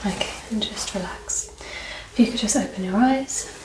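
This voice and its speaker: A woman speaking softly, often dropping into a whisper, gently giving instructions as a doctor in an ASMR medical exam roleplay. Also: medium pitch, normal speed. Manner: soft, partly whispered, gently instructing, reassuring. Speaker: performer playing a doctor giving an eye exam. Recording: roomy, distant mic, faint noise.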